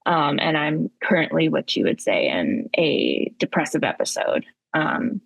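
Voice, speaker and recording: A woman podcast co-host and therapist with a raspy, tired tone, talking candidly and haltingly in a casual chat. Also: low-pitched, very animated, no room sound, rough recording. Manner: candid, halting, many fillers.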